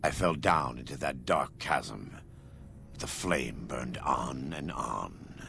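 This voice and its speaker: An actor playing a devout, scarred former warlord in a video game, speaking in a solemn, measured, preacher-like way with a gravelly voice. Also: male voice, bright, forceful tone, a little hiss or hum, full character voice. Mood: solemn, fervent. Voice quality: very deep, gravelly. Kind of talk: video game character dialogue.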